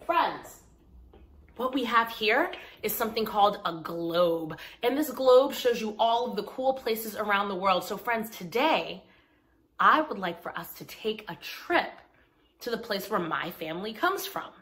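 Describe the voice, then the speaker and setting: A woman hosting a story time for young children, speaking directly to them in simple, clear phrases as she talks and reads aloud. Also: mid-range voice, lively intonation, unhurried, a bit bright. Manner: addresses listeners directly, simple explanations with check-in questions.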